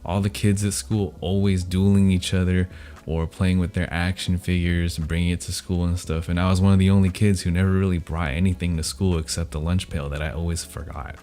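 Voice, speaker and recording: A man's voice: deep voice, level tone, fairly quick, mellow, faint music behind. A young American man chatting casually and telling stories to viewers in a laid-back, slangy, self-deprecating way. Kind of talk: casual rambling chat, storytelling.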